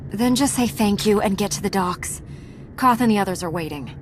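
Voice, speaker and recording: A woman's voice: mid-range voice, animated, moderate pace, slight background noise, close to an everyday voice. An actress playing a composed, no-nonsense female Jedi commander in a video game, assertive and dry. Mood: commanding. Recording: loud music underneath.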